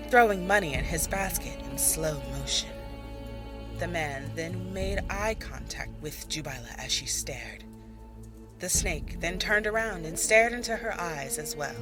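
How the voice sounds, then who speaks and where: A woman narrating a fantasy audio story in a measured, soft-spoken manner. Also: medium pitch. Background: faint music.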